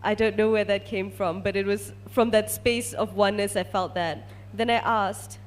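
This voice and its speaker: A woman's voice: medium pitch, fairly even intonation, moderate pace. A woman from Malaysia, a research scientist, telling a personal story to an audience as a testimonial.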